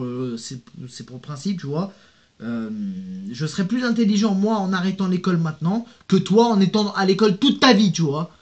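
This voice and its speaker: Young male YouTuber, higher-pitched, slangy casual rant to camera, full of fillers and restarts. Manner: animated, slangy, addresses viewer with "tu vois" and "gros"; reads out hostile comments, many fillers and restarts.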